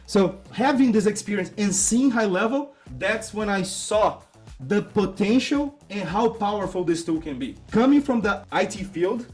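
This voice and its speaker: Male host, high pitch, analytical and upbeat, soft music under. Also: expressive, normal speed. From Brazil. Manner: upbeat, technical, explanatory. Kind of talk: casual presentation.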